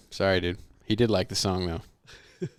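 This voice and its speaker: A man co-hosting a podcast in casual chat, teasing his friends with deadpan jokes. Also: low-pitched, no echo. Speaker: podcast co-host.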